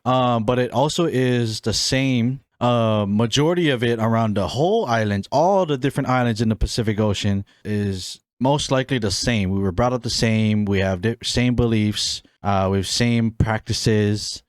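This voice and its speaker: A young man talks through his own solo podcast in a relaxed, rambling way, circling back and restarting his sentences. His voice sits in an ordinary middle pitch. The sound is dry and close, with no room around it. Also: normal speed, few pauses, no room sound. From Hawaii. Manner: rambling, friendly, many fillers and restarts. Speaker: podcast host. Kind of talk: casual solo podcast chat.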